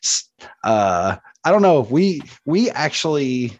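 A man co-hosts a podcast in a loose, joking style. His intonation is lively, and he wanders through halting asides, poking fun at himself. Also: mid-range voice, highs cut off. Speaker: podcast co-host. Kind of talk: casual comedic podcast chat.

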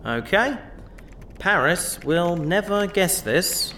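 Bumbling male sidekick, earnest and over-polite, highish lively voice, sci-fi audio comedy-drama. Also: slow, a little hiss or hum, exaggerated voice. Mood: playful. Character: eager, awkward, smitten human male space adventurer sidekick.

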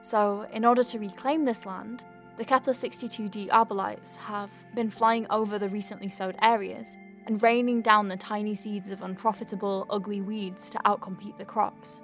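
A woman's voice: mid-range voice, fairly even intonation, moderate pace, fairly bright tone, faint music behind, close to an everyday voice. A woman playing an earnest, wry doctor in an audio drama, thinking aloud in a rambling, conversational way as if dictating notes. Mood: thoughtful, explanatory. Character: earnest young woman alien-clinic doctor, flustered and wry. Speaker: doctor dictating a patient letter.

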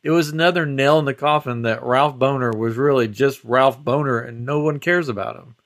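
A man's voice: medium pitch, moderate pace, a bit bright. A man hosting a podcast in casual banter, retelling things animatedly and acting out little quotes and sound effects. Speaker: podcast host.

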